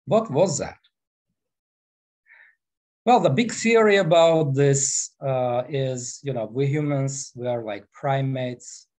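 A man in his forties from Armenia with an Armenian accent, giving an online presentation on a headset mic in an unhurried, halting way full of fillers. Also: medium pitch, a little mellow. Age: 40s. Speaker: guest presenter. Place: small room, indoors. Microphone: headset microphone.

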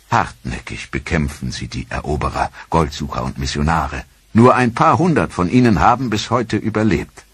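A man narrating a history documentary in a measured, even storytelling delivery. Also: sits low, slightly rough.

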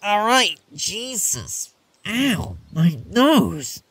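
A man's voice: sits high, big pitch swings, very slow, fairly bright tone, husky, no room sound, heavily acted. A man playing an eccentric, persistent time traveller in a fan audio drama, flippant yet earnest and reassuring. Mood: hurt, whining.

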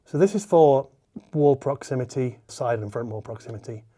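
A man's voice: mid-range voice, expressive, normal speed, mellow. A man in his forties explaining a product to camera as a reviewer, step by step and in informal phrasing. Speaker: audio reviewer, presenter. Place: medium living-room listening space, indoors.

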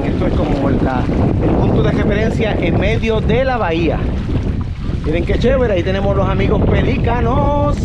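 Puerto Rican man in his 40s, high and eager, action-cam vlog from a kayak on the bay. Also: very noisy. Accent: Puerto Rican Spanish. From Puerto Rico. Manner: excited, cheering, speaks to viewers. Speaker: kayaking vlogger. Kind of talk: upbeat travel vlog narration. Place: outdoors on a kayak on open bay water. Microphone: action camera on the kayak, sometimes held at arm's length.

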